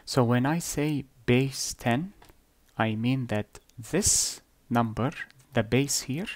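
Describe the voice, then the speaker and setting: A man whose first language is Arabic, explaining number systems slowly and deliberately over an on-screen drawing, with blunt asides. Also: medium pitch, no room sound. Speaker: math teacher. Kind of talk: screen-recorded whiteboard lesson.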